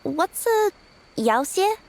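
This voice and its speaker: A woman's voice: extremely high pitch, very animated, slow pace, darkish tone, exaggerated voice. An actress voicing a friendly, easygoing cat yokai woman in a video game, speaking with hesitant fillers. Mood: musing.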